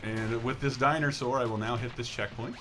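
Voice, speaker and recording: Male gameplay host, casual and explanatory, talking over clear game music. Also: mid-range voice, fairly even intonation, a little fast. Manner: explanatory, walks listeners through the game. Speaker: host playing a video game on a show. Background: video game music.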